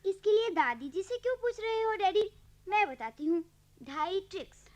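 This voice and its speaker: A woman's voice: very high voice, animated, normal speed, a little mellow. A female performer playing an eager, cheeky little granddaughter in a radio play, chirpy and insistent. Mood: eager, proud. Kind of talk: Hindi radio play acting.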